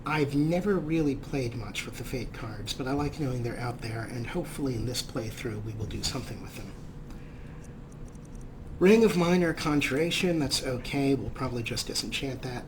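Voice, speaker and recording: Male let's play host, mid-range voice, casual commentary over clearly audible game ambience. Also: medium pitch, lively intonation, normal speed. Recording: noisy, game sound behind voice. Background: video game ambience.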